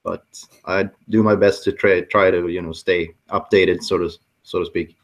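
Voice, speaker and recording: Swedish-accented man, low voice, relaxed guest chat, hesitant phrasing. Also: moderate pace, lo-fi sound. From Sweden. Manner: searches for words, hesitant. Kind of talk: casual chat.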